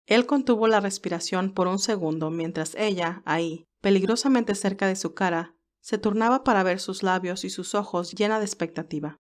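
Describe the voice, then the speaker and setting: A woman narrates a scripted romantic story. Her voice sits at a medium pitch, and she reads as the storyteller between the characters' dialogue. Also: moderate pace, few pauses.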